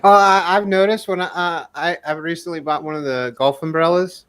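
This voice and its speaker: A man telling casual anecdotes in a group chat, halting and hesitant with frequent pauses. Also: on the high side, steady tone, fairly bright tone, clear, resonant tone. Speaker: panelist on a livestream discussion.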